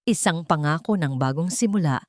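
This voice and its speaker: A woman narrating a scripted romantic drama in a measured, solemn, sentimental storytelling manner. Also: sits low, expressive, darkish tone, no echo, clean studio sound. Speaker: narrator of audio drama stories.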